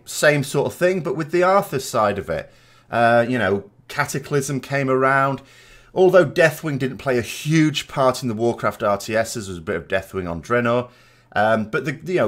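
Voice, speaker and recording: A man's voice: fairly low pitch, a bit bright. A man hosting a gaming channel in a casual, conversational podcast style, rambling and digressive, with emphatic, opinionated delivery.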